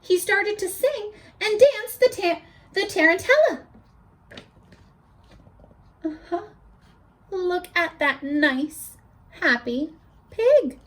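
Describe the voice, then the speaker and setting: A woman's voice: very high voice, slow pace, long pauses. A woman teacher reading a picture book aloud to children, stopping to explain words and ask for their predictions. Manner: animated, asks children questions.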